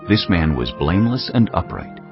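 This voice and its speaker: A man narrating scripture in a grave, measured way. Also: low voice, big pitch swings. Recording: dull lo-fi recording. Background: cinematic music.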